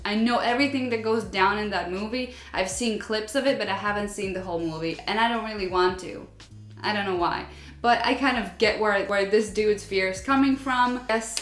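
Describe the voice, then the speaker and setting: Chatty young woman in an echoey room. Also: medium pitch. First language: not English. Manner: chatty, relatable, laughs often. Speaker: vlogger. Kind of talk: casual chat reading viewer stories.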